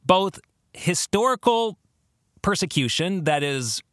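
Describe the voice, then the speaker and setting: A man hosting a podcast, explaining theology in a conversational, earnest way with expressive emphasis. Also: high voice, takes it slowly, dry recording, studio quality. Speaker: podcast host.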